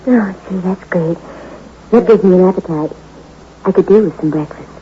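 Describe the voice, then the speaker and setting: An actress playing a scheming, sultry femme fatale singer in a 1940s radio drama, speaking in a coy, teasing way. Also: female voice, fairly low pitch, normal speed, close to an everyday voice. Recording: hissy muffled old radio recording.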